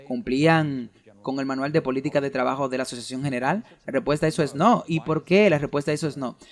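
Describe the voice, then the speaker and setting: Earnest man's somewhat high voice. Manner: earnest, argumentative, reads out quoted documents. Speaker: preacher giving a talk.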